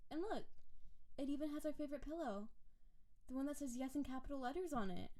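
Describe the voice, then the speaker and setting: A woman's voice: high-pitched, dark tone, close to an everyday voice, rough recording. A woman playing an affectionate, possessive snow-spirit wife in an intimate roleplay audio drama, teasing and doting on her husband. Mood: cozy, coy.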